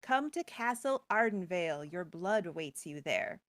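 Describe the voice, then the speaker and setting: A woman's voice: on the low side, lively intonation, normal speed, no echo, voice pushed down, lightly acted. A woman voicing a proud, affectionate royal parent from a dream in a storybook retelling. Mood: tender, proud.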